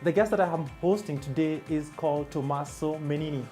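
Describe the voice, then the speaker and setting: A man hosting a business talk show, quick with interjections and short prompts. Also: a little high, warm tone, soft background music. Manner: fast, with frequent interjections like interesting and mm-hmm. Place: studio set at a business club.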